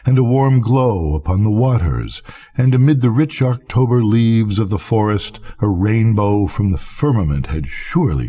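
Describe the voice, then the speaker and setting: An older man with a husky voice reads a gothic literary story in a solemn, measured manner. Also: lowish voice, warm tone. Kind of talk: scripted literary narration, gothic bedtime story reading.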